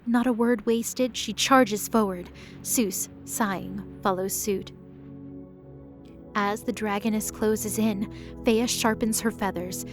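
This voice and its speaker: A woman giving steady, scripted storytelling narration for an audio drama. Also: mid-range voice, takes it slowly. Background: background music.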